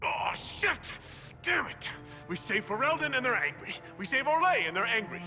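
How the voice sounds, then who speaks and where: An actor playing a defiant, weary leader of a fantasy order in a video game, with earnest, emphatic delivery. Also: male voice, very high voice, big pitch swings, moderate pace, bright, forceful tone, heavily acted. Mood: furious, exasperated. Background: music.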